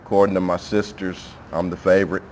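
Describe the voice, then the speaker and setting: A man giving a heartfelt, sincere funeral eulogy as a grieving son, speaking haltingly. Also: low voice, little pitch movement, darkish tone. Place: funeral chapel. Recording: noisy live room recording.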